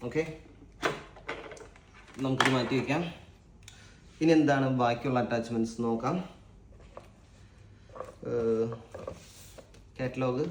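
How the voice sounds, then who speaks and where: A man's voice: medium pitch, a little mellow. A Malayali man hosting a casual unboxing vlog, informal and a little hesitant as he thinks aloud. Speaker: vlog host. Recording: echoey room with faint background noise.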